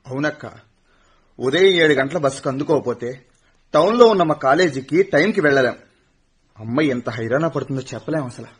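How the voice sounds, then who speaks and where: A young man playing a teasing college-student son in a radio play, with a husky voice. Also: medium pitch, expressive, fairly quick, pauses often. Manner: teasing, cheeky. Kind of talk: radio drama acting.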